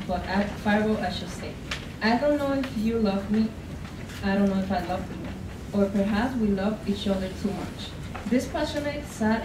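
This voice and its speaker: A woman reading a poem at a podium in a college lecture room, earnest and halting, with restarts. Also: medium pitch. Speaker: student contestant. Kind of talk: poetry reading, bilingual. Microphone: podium mic from a far camera. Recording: echoey room, far mic, clear hiss.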